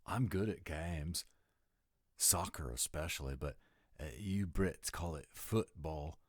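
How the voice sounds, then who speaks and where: A laid-back American slacker dude, voiced by a man for an audio roleplay. His voice is low and unhurried, with a goofy, dim-witted surfer drawl that rambles along. The sound is close and dry. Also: slightly rough, dry recording, full character voice. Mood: goofy, upbeat.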